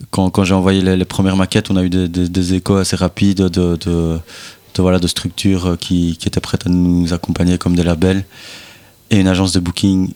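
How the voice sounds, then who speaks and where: A young man, a musician in a band, chatting in a radio interview, halting with many repeated words. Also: low voice, darkish tone, clear, resonant tone. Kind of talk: casual interview chat.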